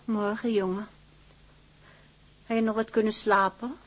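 Gentle sailor's mother female actor, husky, even-toned, quietly caring, radio drama. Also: mid-range voice, unhurried, natural voice, low-quality recording. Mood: concerned, subdued. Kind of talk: Dutch radio drama acting.

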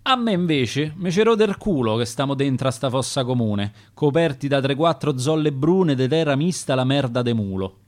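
A man's voice: mid-range voice, lively intonation, normal speed, few pauses, natural voice. A man voicing an angry dead man in a common grave, coarse and bitter, with a Roman accent.

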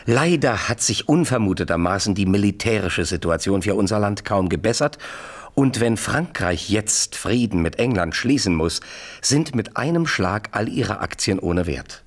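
Suave, taunting nobleman for radio play: very low, rough voice, measured and falsely courteous. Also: lively intonation. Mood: mock regret. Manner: mock-courteous, smug, measured, pointed pauses. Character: suave, mocking French marquis acting as financial adviser. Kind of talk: 1970s German radio play acting.

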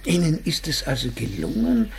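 An actor playing a composed, condescending male psychotherapist in a radio play, speaking formally, measured and with polite scepticism. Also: a little high, huge pitch swings, creaky, a little hiss or hum, heavily acted. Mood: probing. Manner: formal, measured, faintly patronising. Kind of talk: German radio drama dialogue.

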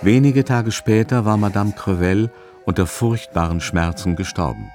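A man's voice: lowish voice, lively intonation, normal speed. A man narrating a radio drama in a scripted literary style. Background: faint music.

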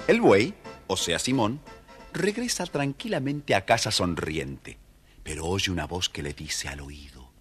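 A man narrating a scripted children's story in a dramatic, expressive way. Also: low voice, a little slow, faint music behind.

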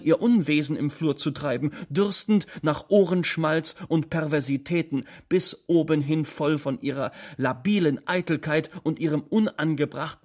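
Slightly high-voiced male literary reader. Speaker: reader of a short story. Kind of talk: dramatic literary first-person reading.

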